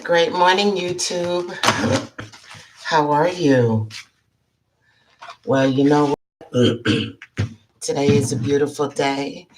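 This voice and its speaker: Woman host, low and unhurried, froggy from allergies, casual live-stream chatter with audible background noise. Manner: chatty, greets viewers by name, clears throat often. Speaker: psychic life coach hosting a live show.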